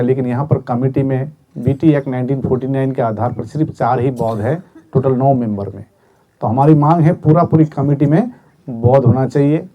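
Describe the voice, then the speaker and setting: A man's voice: a little high, dull audio. A man speaking as a movement spokesman at a press conference, earnestly setting out decisions one by one. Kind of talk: press statement.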